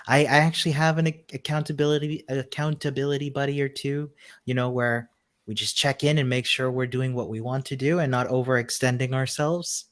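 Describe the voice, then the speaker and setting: A man co-hosting a livestream, talking in an easygoing, encouraging way with many fillers. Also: medium pitch. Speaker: co-host. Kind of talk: casual livestream chat.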